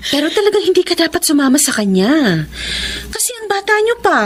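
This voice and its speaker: An actress playing a worried woman in a radio drama, earnest and gently admonishing. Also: very high-pitched, big pitch swings, fairly quick. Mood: concerned. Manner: earnest, cautioning.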